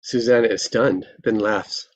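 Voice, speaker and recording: A narrator reading screenplay stage directions at a table read, in scripted present-tense narration with a husky voice. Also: mid-range voice, fairly even intonation, unhurried, highs cut off.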